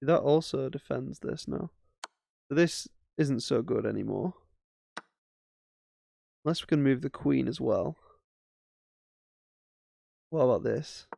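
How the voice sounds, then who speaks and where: Guy talking thru his chess games, bit of gravel in his voice, dry close mic, jokes at himself. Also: medium pitch, slow, long pauses, mellow, dry recording. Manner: musing, self-mocking, thinking aloud. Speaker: chess streamer. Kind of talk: casual chess commentary while playing.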